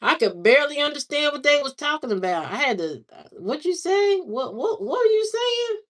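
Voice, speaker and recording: A woman hosting a livestream, chatting casually with viewers in a slow, drawling, musing way. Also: high-pitched, sing-song. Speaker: livestream host. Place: lives in Indiana, not from there.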